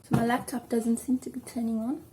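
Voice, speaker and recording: A South African woman chatting casually at home while doing her hair, rambling and filling pauses with ums. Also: fairly high pitch, little pitch movement, warm tone, slight background noise, poor audio. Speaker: vlogger. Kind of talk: casual hair tutorial chat.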